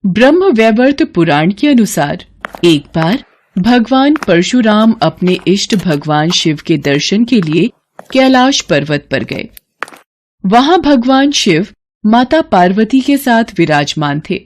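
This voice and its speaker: A woman tells a scripted devotional story. Her voice sits at a middle pitch and moves with very lively intonation, in a storyteller's delivery. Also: takes it slowly. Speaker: narrator.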